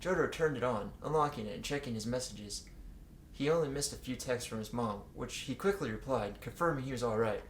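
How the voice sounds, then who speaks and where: A man's voice: mid-range voice, fairly quick. Man reading casually, echoey room recording. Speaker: co-reader reading fan fiction aloud with commentary. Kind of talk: casual read-aloud with joking asides. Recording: echoey room with faint background noise.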